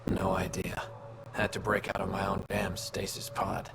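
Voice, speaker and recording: An actor playing a gruff, middle-aged former special agent in a video game, dry, clipped and world-weary, with a gravelly voice. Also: male voice, sits low, little pitch movement, moderate pace. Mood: dry, wry. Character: gruff, jaded veteran alien special agent. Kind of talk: video game dialogue acting. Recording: loud ambient noise under voice.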